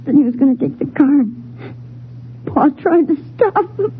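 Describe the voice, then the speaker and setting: High-pitched frightened young boy. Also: expressive. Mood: weak, halting. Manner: halting, childlike. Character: frightened, grieving young boy, weak in hospital. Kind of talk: 1950s radio drama acting. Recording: muffled, noisy 1950s radio recording.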